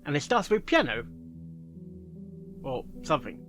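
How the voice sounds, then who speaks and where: A man's voice: fairly high pitch, big pitch swings, a little slow, a bit bright, faint music behind. A man hosting a reaction video, making jokey, chatty asides about a story reading. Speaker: reaction host. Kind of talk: casual reaction commentary.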